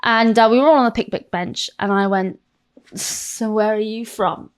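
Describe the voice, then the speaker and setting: An English woman in her thirties talks frankly about her own life as a podcast guest. She tells it with animated, self-mocking energy and swears freely, in a voice that sits in the middle of the range. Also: medium pitch, moderate pace, clear, resonant tone. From South London. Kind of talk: candid interview chat.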